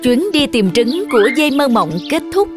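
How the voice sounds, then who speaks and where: A woman's voice: high-pitched, very animated, moderate pace. A woman reading scripted fairy-tale narration for children as the storyteller. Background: music.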